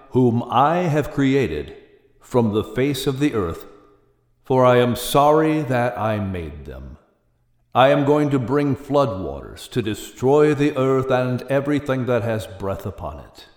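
A man's voice: mid-range voice, echoey room, long reverb tail. A man voicing God in an audiobook with a grave, solemn manner. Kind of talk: audiobook character reading.

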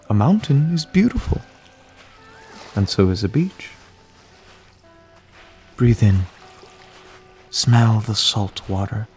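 A man performing a gentle, caring relaxation guide with a low voice. He leads a guided meditation very slowly, calm and reassuring, leaving room between phrases. Soft music plays behind him. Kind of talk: guided meditation, slow soothing script.

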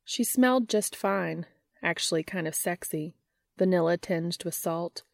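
A woman reads a story aloud as a narrator. Her voice sits somewhat low for a woman, and the recording is dry, with little room sound. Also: measured pace, creaky, dry recording. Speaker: fan fiction audiobook narrator. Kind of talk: scripted narration, reading a story aloud with character dialogue.

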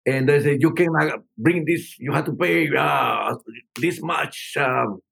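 A man's voice: a little high, a little slow, vocal fry, a bit bright, slightly rough. An older man from Peru, a musician telling stories in an interview, rambling with many restarts. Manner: rambling, frequent you know and restarts. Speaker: musician guest. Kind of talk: storytelling interview answers.

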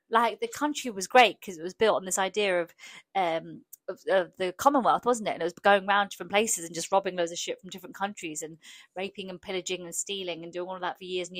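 A woman's voice: lowish voice, dry recording. Frank, jokey woman. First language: Polish. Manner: frank, jokey, animated storytelling. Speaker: comedian. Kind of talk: casual monologue.

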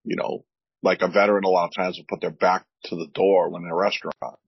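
A veteran man with a deep, husky voice talks earnestly on a podcast and often repeats himself while he searches for words. The sound is dry and a little dull. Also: little pitch movement, fast talker, no room sound. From United States. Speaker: veteran guest. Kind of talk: candid podcast talk.

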